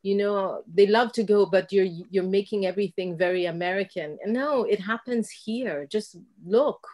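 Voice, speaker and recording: A middle-aged woman from Kenya speaks on a video call from a room at home, picked up by a laptop mic. She talks in a reflective, lively way and acts out the conversations she recalls. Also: mid-range voice, moderate pace. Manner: reflective, animated storyteller who acts out quoted exchanges. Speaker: dancer and guest.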